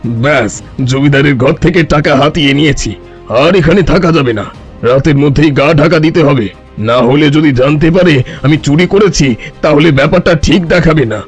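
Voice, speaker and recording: A man's voice: on the high side, moderate pace, natural voice. A man playing a sly, fraudulent village holy man in a cartoon, pompous and scheming. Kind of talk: cartoon story dialogue. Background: music.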